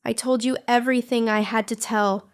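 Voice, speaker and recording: A woman's voice: on the high side, fairly even intonation, moderate pace, close to an everyday voice. A woman voicing a bitter young female villain recruit, narrating her painful past in a scripted comic dub. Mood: somber, hurt. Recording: music under the voice. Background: background music.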